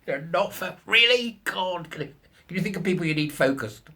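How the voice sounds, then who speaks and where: A British man in middle age with a husky voice that swings widely in pitch. He delivers opinionated commentary in a colloquial, sarcastic way, sounding incredulous as he rants. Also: lively intonation, normal speed, bright, forceful tone. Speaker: commentary show host. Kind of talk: ranting commentary on a news article.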